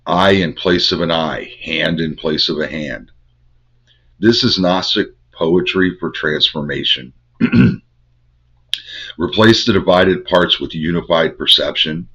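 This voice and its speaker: An older man with a head cold, hosting a Bible study and teaching in measured, incantatory short phrases. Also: low voice, gravelly, a bit bright, husky. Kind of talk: spiritual teaching monologue. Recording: dull lo-fi recording.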